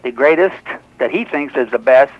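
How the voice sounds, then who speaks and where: A man's voice: mid-range voice, moderate pace. A seventy-year-old American man calling a radio show, chatting eagerly about sports and cutting in. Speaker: phone-in caller. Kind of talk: casual sports chat. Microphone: telephone. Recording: dull radio phone-in line.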